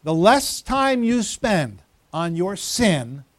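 A man's voice: high-pitched, very animated, slow pace, no room sound. Man in his fifties preaching, dry sound. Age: 50s. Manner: conversational, teasing the congregation by name. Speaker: pastor. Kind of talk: sermon. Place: church.

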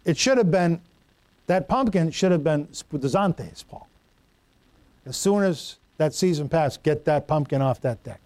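A man's voice: on the high side, lively intonation, unhurried, darkish tone, no room sound. A middle-aged man preaching a sermon in a conversational, folksy way, stumbling over Greek words and telling homely stories. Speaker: pastor.

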